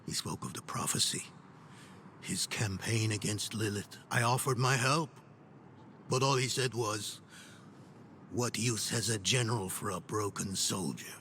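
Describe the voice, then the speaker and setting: An actor playing a weary, sardonic older scholar in a video game, with a gravelly, grumbling delivery. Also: male voice, lowish voice, measured pace, sharp tone, a little hiss or hum. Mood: bitter, disappointed. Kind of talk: dramatic video game acting. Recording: game audio with music underneath.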